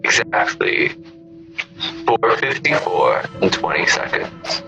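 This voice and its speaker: A man as a slow, impassive telephone time announcer. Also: on the low side, very animated, over loud music.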